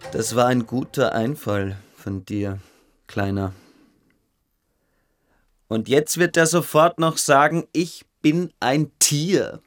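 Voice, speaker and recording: A man's voice: mid-range voice, lively intonation, drawn-out words, long pauses, faint music behind. A man playing a mocking, condescending cadet bully in a radio drama, with a drawling sneer. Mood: gloating.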